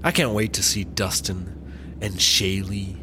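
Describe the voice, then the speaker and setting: An actor playing a mild, straitlaced Utah family man with a deadpan manner, in a comedy audio drama. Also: sits low, a little slow, noisy background.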